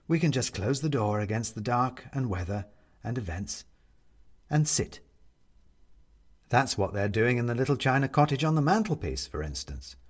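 Expressive male storyteller. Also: mid-range voice. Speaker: audiobook narrator. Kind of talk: scripted literary storytelling.

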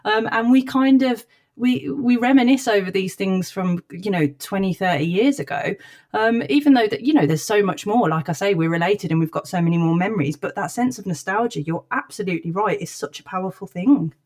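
A woman's voice: mid-range voice, fairly quick, darkish tone. Chatty woman podcast co-host. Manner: chatty, hesitant fillers. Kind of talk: casual podcast chat.

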